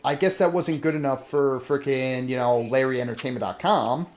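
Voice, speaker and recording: A man's voice: mid-range voice, normal speed. A man hosting a casual, profane podcast chat, speaking emphatically and often repeating himself. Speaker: show host.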